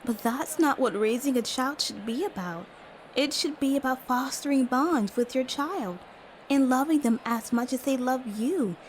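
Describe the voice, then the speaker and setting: A woman playing a warm-hearted, outspoken mother-to-be in a fan audio drama, earnest and idealistic. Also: high-pitched, normal speed, a little hiss or hum, close to an everyday voice, low-quality recording.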